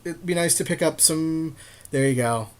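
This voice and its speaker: A man's voice: on the high side, normal speed. A man co-hosting a podcast, bantering casually in slangy, joking talk during a card game draft. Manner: slangy, jokey. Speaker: podcast co-host.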